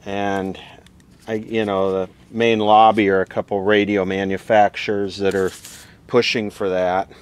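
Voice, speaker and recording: Low-voiced man talking slowly. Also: little pitch movement, clean tone. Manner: rambling, thinking aloud. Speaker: vlogger demonstrating vintage radio equipment. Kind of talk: casual, unscripted hands-on commentary.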